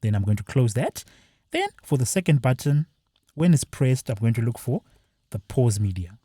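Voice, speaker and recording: Male tutorial presenter, mellow slightly husky tone, lively pitch, step-by-step delivery, dry close sound. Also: moderate pace, dry recording. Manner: plain step-by-step explaining. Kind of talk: screen-share walkthrough.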